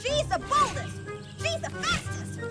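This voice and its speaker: High-pitched, brash young insect heroine. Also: female voice, lively intonation, a little slow, over loud music. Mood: boastful, excited. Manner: cocky, wisecracking. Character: brash, boastful young female insect adventurer. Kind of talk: animated children's cartoon acting.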